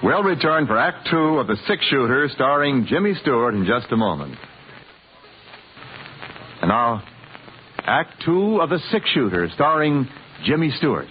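A man's voice: mid-range voice, very animated, measured pace, long pauses. A man with an American accent announcing a drama programme in formal 1950s radio style. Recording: muffled, faintly noisy 1950s radio recording.

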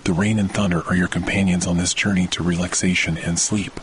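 Low-voiced man guiding sleep meditation over rain. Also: flat delivery, slight background noise. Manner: slow, soothing delivery with long pauses. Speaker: podcast host and meditation guide. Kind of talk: guided sleep meditation narration. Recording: loud rain and thunder behind voice. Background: rain and thunder sounds.

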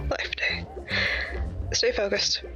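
A no-nonsense bounty hunter in an audio drama. She is terse and dry, slow in pace but lively in pitch, over prominent music. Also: heavily acted, rough recording. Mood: stern, dry.

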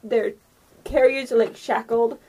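High-voiced woman game master, unhurried. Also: fairly even intonation, mellow, husky, low-quality recording. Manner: halting, casual, thinking aloud. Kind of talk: improvised narration of a tabletop game.

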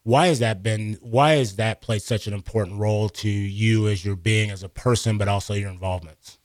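A man's voice: on the low side, normal speed, dry recording. A man hosting a live radio interview from a studio, speaking into a close mic. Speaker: radio show host.

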